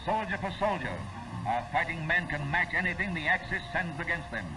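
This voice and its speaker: A man's voice: fairly high pitch, a little fast, low-quality recording. Emphatic American man narrating 1940s propaganda film, music behind. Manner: solemn, emphatic, declamatory. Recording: vintage 1940s film soundtrack.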